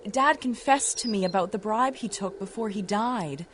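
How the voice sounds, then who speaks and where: A woman's voice: mid-range voice, moderate pace, a little hiss or hum, natural voice. A woman playing a tender, earnest young sweetheart in a 1930s-style radio drama. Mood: regretful, tender.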